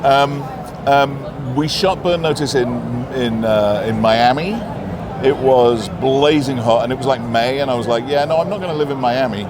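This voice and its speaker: A man's voice: medium pitch, normal speed. Jokey man amid convention noise. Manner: animated, jokey, self-mocking. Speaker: actor guest. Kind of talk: casual interview chat. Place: busy convention. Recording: noisy convention floor.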